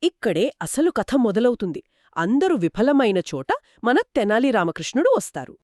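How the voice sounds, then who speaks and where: A woman's voice: fairly high pitch, very animated, moderate pace, darkish tone, no room sound, studio quality. A woman co-hosting a conversational discussion of a story, explaining it as a storyteller would. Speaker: podcast co-host.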